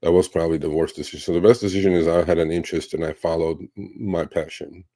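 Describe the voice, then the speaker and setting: A man in his fifties from Turkey, hosting a livestream Q&A and telling stories in a relaxed, rambling way with many fillers. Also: deep voice, quick pace, no echo. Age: 50s. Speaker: livestream host. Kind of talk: casual livestream Q&A.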